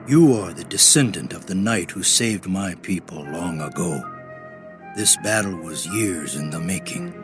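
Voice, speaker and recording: A man's voice: low voice, expressive, unhurried, over music. An actor playing a solemn, dignified Inca leader in a video game, speaking formally as protector of his people. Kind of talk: dramatic video game voice acting.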